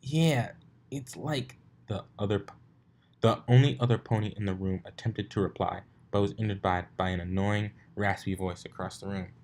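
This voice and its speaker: A man's voice: low voice, flat delivery, fairly quick, a little mellow. A man narrating fan fiction aloud, now and then stumbling and rereading phrases. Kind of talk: story narration read aloud.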